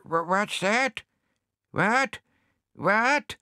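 Doddery deaf old hedgehog, high and slow, storybook, as a man puts him on. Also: expressive, bright, forceful tone, clean tone, dry recording, studio quality, heavily acted. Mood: befuddled. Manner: vague, drawn-out. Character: deaf, muddled old male hedgehog gardener.

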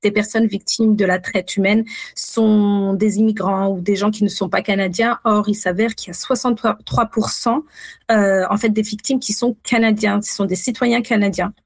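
A woman speaking as an expert guest in a radio interview, explaining things in a measured, careful way. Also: medium pitch, fairly even intonation, dry recording. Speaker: child protection worker, interview guest.

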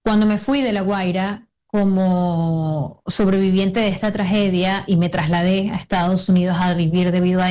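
A woman's voice: medium pitch, a little mellow. A woman in her thirties from Venezuela, answering interview questions earnestly, often restarting and hesitating.

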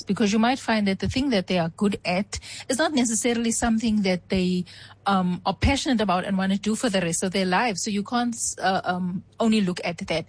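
A woman calls in to a radio show and gives her view in a measured, thoughtful manner. Her voice sits at a plain middle pitch, and she pauses now and then to gather her words. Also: low-quality recording. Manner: measured, thoughtful, some fillers. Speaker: radio caller. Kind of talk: call-in voice note.

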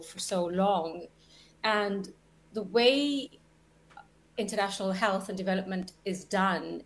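A woman's voice: on the low side, slight background noise, rough recording. A woman in her forties, a radio guest, speaking thoughtfully with many ums and restarts. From South Africa. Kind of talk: radio interview.

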